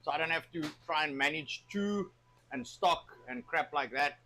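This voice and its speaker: A South African man runs a live cooking show, talking casually as he works. He rambles with plenty of filler words and jokes freely. His voice sits a bit higher than most men's. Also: big pitch swings, normal speed, creaky, pushed and bright, a little hiss or hum. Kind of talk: casual live-stream chat while cooking.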